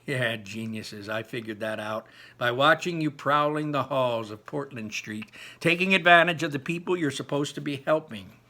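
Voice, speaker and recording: A man voicing an indignant, defiant teenage boy in a storybook, forceful and emphatic. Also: mid-range voice, normal speed, few pauses. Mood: seething defiance.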